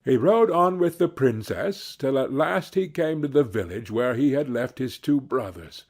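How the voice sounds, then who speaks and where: A man narrating a fairy tale from a script in a measured, deliberate storytelling manner. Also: mid-range voice, lively intonation, a little mellow.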